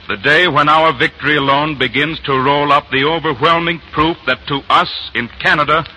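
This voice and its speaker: Male 1940s radio announcer, declamatory, very sharp forceful tone, slightly noisy vintage recording. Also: mid-range voice.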